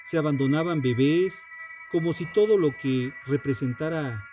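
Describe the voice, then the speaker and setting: A man narrates a scripted true crime piece in a solemn, dramatic and deliberate manner. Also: fairly high pitch, a little mellow. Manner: solemn, dramatic, deliberate phrasing with rhetorical questions. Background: music.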